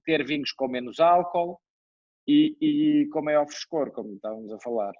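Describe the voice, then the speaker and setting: A man with a European Portuguese accent, a winemaker speaking as an interview guest in a measured, explanatory way. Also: fairly high pitch, dry recording. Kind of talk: conversational interview. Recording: dull dry lo-fi sound.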